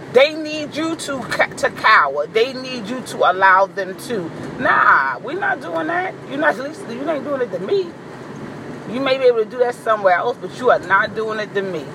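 A woman with a deep voice giving a blunt, profane, boisterous vlog monologue, recorded in a car. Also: background noise. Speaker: vlogger. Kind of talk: candid monologue to camera.

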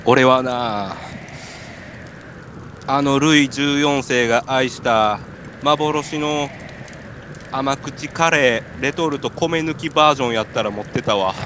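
A man's voice: medium pitch, slow pace, pauses often, fairly bright tone. A man with a Kansai accent playing a hungry, freezing, silly stranded climber in an amateur comic radio drama, with absurd earnestness. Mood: miserable. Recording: noisy amateur recording.

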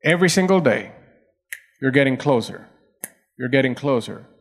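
A man's voice: mid-range voice, expressive, normal speed. A male pastor preaching a sermon, pressing his points through repetition and rising emphasis.

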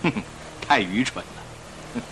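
An actor playing a suave, confident young gentleman with an emphatic, persuasive delivery in a Chinese radio drama. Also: medium pitch, big pitch swings, takes it slowly, noisy background. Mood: assured, scornful. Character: suave confident young gentleman passenger, admiring a famous thief. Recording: radio drama with faint music behind.

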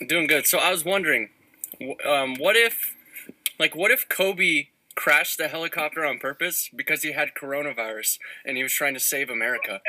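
A man's voice: on the high side, normal speed, bright, forceful tone. A man making prank phone calls, speaking hesitantly, stammering and repeating his phrases. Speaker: prank caller. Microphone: phone line. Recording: noisy phone call recording.

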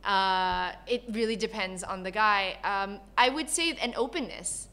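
Female interview guest, from Malaysia and Canada. Bright, forward voice, candid and conversational, animated storyteller. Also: mid-range voice, unhurried. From born in Malaysia, grew up in Canada. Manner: animated storyteller, acts out quoted dialogue.

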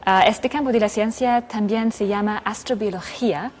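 A woman's voice: mid-range voice, fairly even intonation, normal speed. A woman in her twenties with a German accent, a physics student reading prepared answers as an interview guest.

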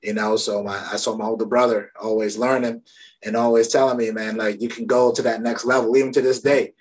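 A man from Brooklyn in his thirties, hosting a conversation. His voice sits at an average pitch, and he talks in a loose, laid-back way, tossing in "you know" and "right?" as he goes. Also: flat delivery, brisk, poor audio. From Brooklyn, New York. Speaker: podcast host. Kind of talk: casual interview chat.

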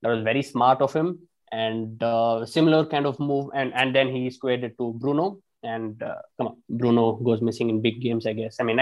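A man's voice: mid-range voice, poor audio. A man, a football fan, arguing his side in a casual podcast chat, restarting sentences often and swearing casually. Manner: animated, frequent restarts and fillers, swears casually.

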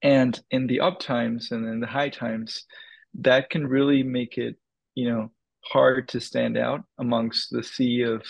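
A man's voice: mid-range voice, vocal fry, darkish tone, dry recording, poor audio. A man from the Dominican Republic, a crypto startup founder, answering interview questions in a thoughtful way with many fillers and restarts.

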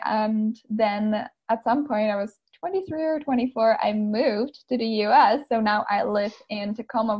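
A woman from the Czech Republic in her thirties, a coach chatting as a podcast guest, bubbly and quick to laugh. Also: a little high. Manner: bubbly, laughs often, uses like a lot. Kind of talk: casual interview chat. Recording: muffled lo-fi audio.

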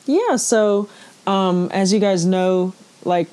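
A woman's voice: mid-range voice, takes it slowly, darkish tone, smooth. A woman from Trinidad and Tobago with a Trinidadian accent, a podcast guest chatting casually with slangy, sassy asides. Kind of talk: casual chat.

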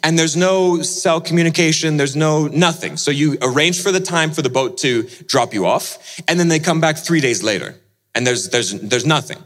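A man preaching as he tells a dramatic personal story, swinging between lively and hushed delivery. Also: a little high, normal speed. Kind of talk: sermon storytelling.

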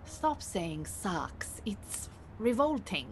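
An actress playing an exacting Iranian refugee mentor in an audio play, speaking formally and precisely with dry, bossy authority. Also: female voice, mid-range voice, sing-song, drawn-out words, slight background noise, heavily acted, low-quality recording. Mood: dry, disapproving. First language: Farsi. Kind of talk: audio theatre acting.

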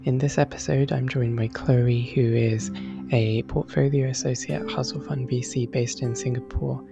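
Female podcast host, even delivery with a gravelly fry, music underneath. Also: a bass voice, normal speed. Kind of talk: scripted podcast introduction and interview.

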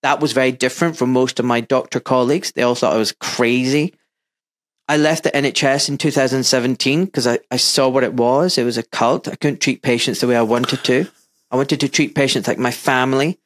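A man in his fifties with a soft, mild Scottish accent, talking as a guest in an interview. He speaks earnestly and with emphasis, leaning into personal stories, and his pitch sits in an ordinary middle range for a man. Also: steady tone, fairly quick. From Glasgow, Scotland. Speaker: guest, surgeon. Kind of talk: candid interview talk.

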